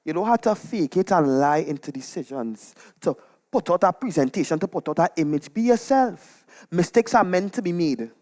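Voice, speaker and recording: A Trinidadian man performs a comic monologue live on stage. His delivery is animated and dramatic, slipping into dialect as he shares scandal like a neighbourhood gossip. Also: high-pitched, normal speed. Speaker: storytelling performer.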